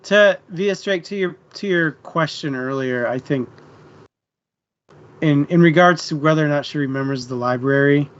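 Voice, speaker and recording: A man's voice: fairly high pitch, normal speed. A man hosting a book discussion stream, speaking informally to his co-host and the live chat. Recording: dull lo-fi stream, faint noise.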